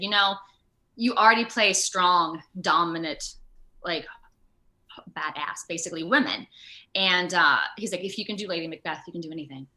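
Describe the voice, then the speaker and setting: A woman actor talking casually as an interview guest, animated and quick to act out remarks. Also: fairly low pitch. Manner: animated, acts out quoted remarks.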